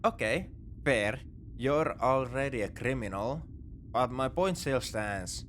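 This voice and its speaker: A man playing a begrudgingly soft-hearted space pirate captor in a sci-fi audio roleplay, speaking to the listener in an exasperated, dismissive, teasing way. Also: mid-range voice, expressive, slow pace, slight background noise. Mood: exasperated, relenting. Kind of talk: sci-fi ASMR roleplay acting, addressing the listener.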